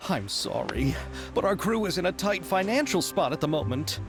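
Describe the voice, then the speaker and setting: A hesitant, eager young director. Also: male voice, high voice, sing-song, normal speed. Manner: hesitant, apologetic, eager to please. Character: nervous, earnest young male film director. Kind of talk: game voice acting. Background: game music.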